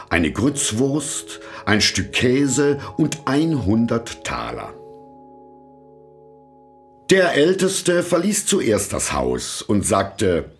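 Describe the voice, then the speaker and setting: A man narrating a fairy tale from a script in a measured, expressive storytelling manner. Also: lowish voice.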